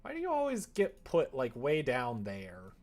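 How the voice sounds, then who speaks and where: A man chatting casually on a live stream, talking half to himself as he works, sometimes louder. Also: mid-range voice, huge pitch swings, unhurried. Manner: mutters to himself while working, with sudden louder bursts. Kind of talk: casual stream chat while working. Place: indoors at a computer desk, keyboard typing behind.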